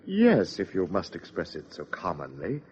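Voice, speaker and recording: Pompous, theatrical society host, very low and husky, sardonic and wordy, vintage radio mystery. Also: male voice, wildly swooping pitch, moderate pace, a little mellow, heavily acted. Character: pompous, snide male party host, florid and sardonic. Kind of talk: 1950s radio drama acting. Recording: dull old radio recording.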